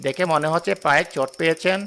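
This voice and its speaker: A man's voice: fairly high pitch, fast talker, fairly bright tone, a little hiss or hum. A man playing a kindly, generous passenger and family man in a cartoon drama, courteous and earnest.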